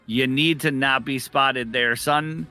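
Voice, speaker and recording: A man casting an esports match live, with excitable, exclamatory commentary on the play as it unfolds. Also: mid-range voice, slow pace, soft background music. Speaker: esports caster.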